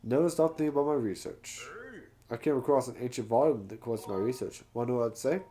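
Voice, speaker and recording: A man voicing an excitable, chatty Goron researcher from a video game, full of exclamations and buddy talk. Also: mid-range voice, fast talker, lightly acted. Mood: curious. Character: excitable, chummy Goron researcher of ancient ruins.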